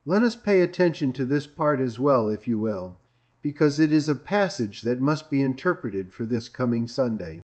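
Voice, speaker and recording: A man's voice: mid-range voice, expressive, moderate pace, darkish tone. Emphatic preacher, man from Greece, dull recording. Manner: emphatic, repetitive, with rhetorical questions. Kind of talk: sermon.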